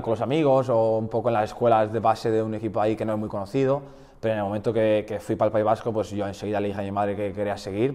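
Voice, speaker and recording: Young man, slight Basque accent, low voice, relaxed, stop-start chat. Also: in a large hall. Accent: Spanish, slight Basque. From Portugal, raised in the Basque Country. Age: 20s. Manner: relaxed, frequent restarts and fillers. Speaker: guest footballer. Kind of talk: casual interview chat.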